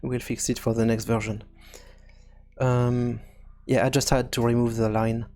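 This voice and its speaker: A man hosting a casual screencast programming tutorial, thinking aloud in a halting way and often repeating words as he goes. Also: mid-range voice, flat delivery, dark tone. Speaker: tutorial host and game developer.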